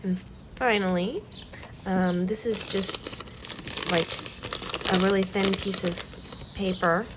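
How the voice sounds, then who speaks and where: A woman vlogger with a cold and a slightly stuffy nose, talking softly and hesitantly with many pauses during a calm art demonstration. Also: medium pitch, heavy background noise. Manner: slow, soft-spoken, hesitant with many ums. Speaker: collage artist vlogger.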